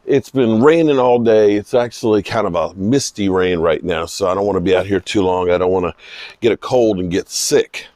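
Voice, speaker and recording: A man with a slightly hoarse voice giving unscripted, emphatic sports commentary, pausing mid-sentence for emphasis. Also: deep voice, a little mellow, dry recording. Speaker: football vlogger giving post-game reaction. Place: outdoors in misty rain.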